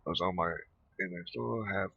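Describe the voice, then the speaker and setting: A man hosting a casual gaming video in a low, very sharp and husky voice. He rambles and halts as he comments, on a dry, slightly dull microphone. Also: big pitch swings. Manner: halting, rambling. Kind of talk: casual game commentary, reading dialogue aloud.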